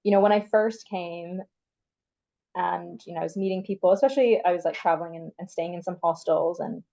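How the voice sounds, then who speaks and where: A young woman from Canada talks easily and chattily, her sentences full of "like." Her voice is mellow and sits a little low. The sound is dull and lo-fi. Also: fairly quick, gravelly. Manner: chatty, frequent "like" fillers. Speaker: interview guest. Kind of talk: casual conversation.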